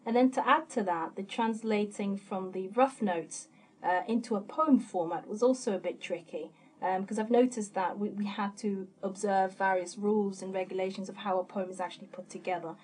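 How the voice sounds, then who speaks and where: A woman's voice: mid-range voice, moderate pace, a little mellow, breathy edge. A woman from Somalia talks about translation on a panel. She is thoughtful and searches for words, with frequent fillers.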